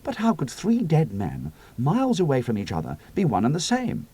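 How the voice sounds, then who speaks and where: A man's voice: on the high side, wildly swooping pitch, moderate pace, dark tone, breathy edge, no echo, voice pushed up, heavily acted. A man reading the part of an easygoing pilot in a spoken story, with a casual, wry way of speaking. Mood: puzzled.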